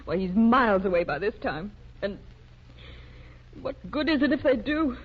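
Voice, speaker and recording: A woman playing an anxious, distraught wife with a halting, despairing delivery in a 1930s radio drama. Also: fairly high pitch, lively intonation. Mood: weary, bitter. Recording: noisy, muffled 1930s radio recording.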